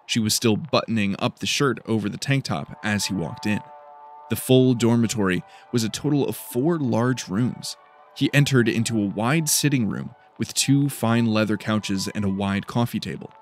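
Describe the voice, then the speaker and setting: A man's voice: fairly low pitch, moderate pace. A man reading scripted fiction as a dramatic audiobook narrator. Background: faint music.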